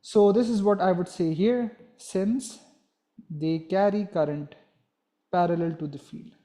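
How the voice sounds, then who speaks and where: A man's voice: high voice, a little slow, mellow. A young man giving a physics lesson, explaining step by step and often checking in with a "right?". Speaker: physics teacher.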